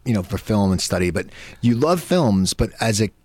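A man's voice: on the low side, animated, moderate pace, darkish tone, dry recording. A man hosting a casual radio talk show, rambling, with frequent stammered restarts. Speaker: host.

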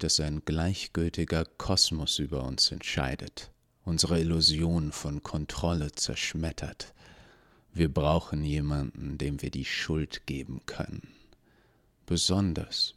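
A man reading an audiobook, voicing a calm, cynical male leader who speaks slowly. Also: rumbling low, no echo, clean studio sound, exaggerated voice.

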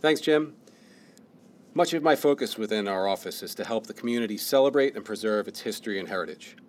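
A man's voice: lowish voice, animated, fairly quick, a little mellow. A man hosting a radio interview, reading a prepared intro and then asking casual questions. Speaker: host, interviewer.

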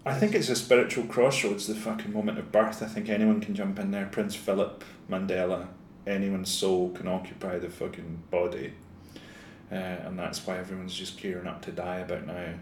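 A man riffing absurd, sweary jokes in deadpan style as a comedy podcast co-host. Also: low-pitched, moderate pace. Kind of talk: absurd improvised comedy chat. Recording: echoey room, faint noise.

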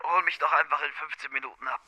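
A man's voice: fairly high pitch, steady tone, fast, sharp tone, poor audio. A man playing a hungover, sardonic police inspector in a comedic audio drama, groaning and curt. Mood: hungover, irritated. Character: hungover, irritable, hard-drinking male police inspector, sardonic.

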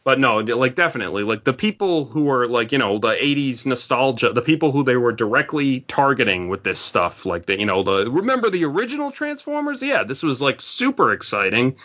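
A man's voice: medium pitch, big pitch swings, brisk, hardly any pauses, bright, forceful tone, dull audio. A man co-hosting a podcast in a casual chat, rambling with lots of fillers. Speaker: podcast co-host.

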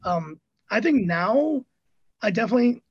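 Guest, thirties, American accent, breathy higher voice, chatty and rambling, jokey asides. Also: male voice, animated, moderate pace, no echo, dull audio. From Hong Kong. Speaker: podcast guest. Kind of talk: casual interview chat.

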